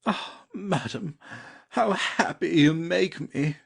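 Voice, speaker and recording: An actor playing a polite, cautious gentleman lover in a classic comedy audio play, speaking formally and with measure. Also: on the high side, slow pace, gravelly, slightly rough, full character voice. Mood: secretive, courtly.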